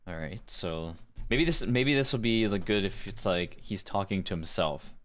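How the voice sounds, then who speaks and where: A man chatting casually on a live stream as a voice actor, low-key and thinking aloud. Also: moderate pace, a bit bright.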